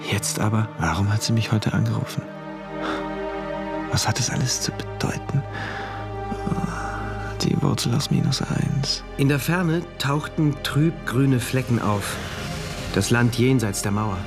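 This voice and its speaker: An actor playing a rigid, troubled man from a regimented future, narrating a literary radio play in a deliberate, brooding, precise way. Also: mid-range voice, animated, gravelly. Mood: unsettled. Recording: music behind the voice.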